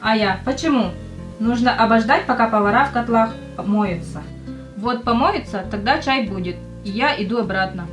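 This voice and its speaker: A woman's voice: fairly high pitch, moderate pace, a bit bright, faint music behind, lightly acted. A woman playing a naive young army recruit, simply telling her war story in an amateur audio play. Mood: naive, storytelling. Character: young female army recruit recalling wartime mishaps.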